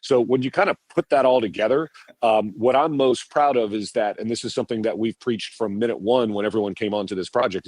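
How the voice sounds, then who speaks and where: A middle-aged man with a low voice explains the work behind a live TV show. He talks in loose, rambling runs, doubling back on his words. The sound is dry and close. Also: a little mellow, no room sound. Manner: rambling with many restarts and repeated words. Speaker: TV producer guest. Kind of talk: podcast interview answers.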